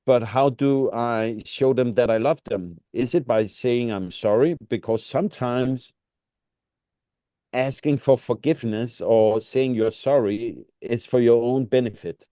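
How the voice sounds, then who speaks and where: A man's voice: medium pitch, unhurried, dark tone, no echo, studio quality. A middle-aged man, a native Danish speaker with a Danish accent, co-hosting a casual reflective conversation and often searching for words. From Denmark. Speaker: podcast co-host.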